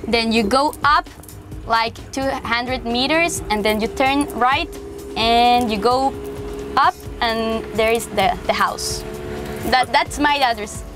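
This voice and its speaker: A woman's voice: a little high, slow pace, over music. A young woman from Costa Rica, a native Spanish speaker, chatting casually in an interview on a sailboat deck, with many fillers. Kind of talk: casual chat.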